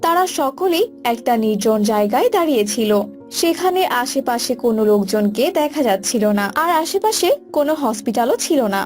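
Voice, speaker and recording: A woman's voice: high-pitched, animated, normal speed, a little mellow. A woman reading scripted narration for a cartoon story. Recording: music bed behind voice.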